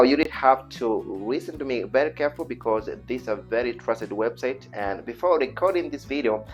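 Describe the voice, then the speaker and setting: A man with a Tanzanian accent, a native Swahili speaker, presenting an informal tutorial in an earnest, repetitive, persuasive way. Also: on the low side. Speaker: online video host. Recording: dull lo-fi voice recording with music. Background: background music.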